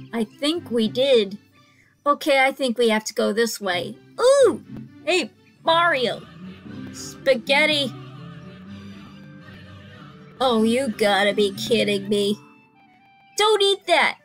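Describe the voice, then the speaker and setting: A young woman with a high voice reads out and comments on a video at an unhurried pace. She puts exaggerated expression into the dialogue, and music plays behind her in a small room. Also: fairly bright tone. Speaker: reaction video host. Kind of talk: reading out captions and commenting on a video. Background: music from the video being watched.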